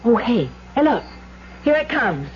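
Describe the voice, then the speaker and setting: Lively young woman, old radio drama. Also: on the high side, unhurried. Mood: playful, teasing. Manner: expressive, coaxing. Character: teasing, skeptical young woman in a suspense play. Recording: dull old radio recording with hiss.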